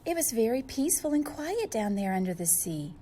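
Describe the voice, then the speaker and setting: A woman's voice: fairly high pitch, sing-song, a little fast, mellow, a little hiss or hum. A woman reading a storybook aloud to young children, expressively and speaking to them directly.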